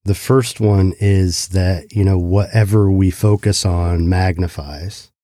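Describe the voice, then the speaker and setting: Deep, slow, calm male host. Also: no echo, clean studio sound. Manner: calm, thoughtful, measured. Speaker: podcast host. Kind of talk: reflective interview questions.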